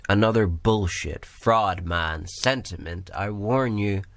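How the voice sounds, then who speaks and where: A man from Northern Ireland performing spoken word poetry in a measured, rhythmic recitation. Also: on the low side, fairly bright tone, no echo. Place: small room or pub.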